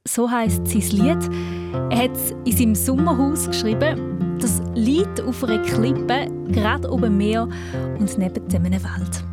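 A woman with a Swiss German accent presenting on the radio as host of a children's programme. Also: on the high side.

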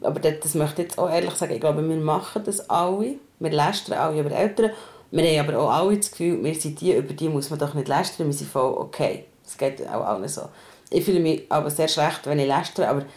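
A woman's voice: low voice, fast, a little mellow, husky. A woman with a Swiss German accent hosting a podcast about parenting in a candid, colloquial, conversational way.

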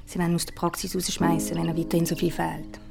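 A woman's voice: on the low side, monotone, quick pace, vocal fry. An actress playing a harried, stoical mother and violinist in a radio drama, speaking in a colloquial, matter-of-fact way. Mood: worried, matter-of-fact. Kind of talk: naturalistic radio drama acting. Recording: music behind the voice.

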